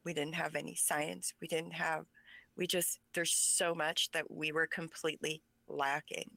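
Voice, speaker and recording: A woman's voice: low-pitched, flat delivery, measured pace, vocal fry, pushed and bright, no room sound. A woman whose first language is Pennsylvania Dutch, talking as an author guest in an interview, speaking passionately and with emphasis. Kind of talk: conversational interview.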